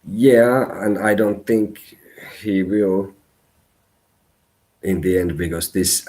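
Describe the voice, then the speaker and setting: A man from Finland whose first language is Finnish, giving a live tarot reading in halting English as he searches for words. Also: low-pitched, a little mellow. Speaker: tarot reader.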